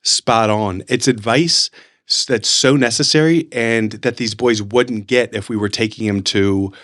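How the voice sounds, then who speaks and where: A man hosts a casual interview podcast at an ordinary male pitch. He is effusive and informal, wandering through his stories in a loose, conversational way. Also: a little slow, a bit bright. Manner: effusive, informal, rambling storyteller. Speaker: podcast host.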